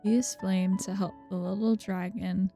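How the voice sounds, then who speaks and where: A woman with a creaky voice giving casual playthrough commentary and reading game dialogue aloud as a streamer. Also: medium pitch, fairly even intonation, measured pace, dark tone, soft background music.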